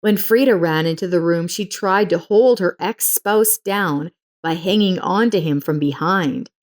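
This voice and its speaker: A woman's voice: lowish voice, measured pace. A woman narrator reading a scripted dramatic story.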